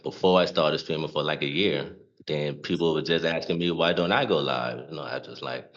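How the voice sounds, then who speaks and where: Guest: deep-voiced Gullah Geechee man, laid-back and halting, slightly dull lo-fi sound. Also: a bit bright. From South Carolina. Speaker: guest streamer and cook. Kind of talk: casual interview chat.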